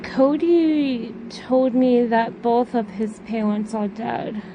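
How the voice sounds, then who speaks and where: A woman playing a subdued, gentle young daughter in an amateur audio drama, speaking haltingly and trailing off. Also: fairly high pitch, fairly even intonation, lo-fi sound, background noise. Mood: hesitant, subdued.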